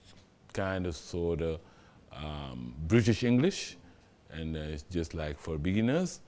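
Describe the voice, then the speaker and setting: Deep-voiced Senegalese man, relaxed and halting. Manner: relaxed, hesitant with many fillers, tells anecdotes. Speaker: interview guest, TV general manager. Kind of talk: casual interview answers.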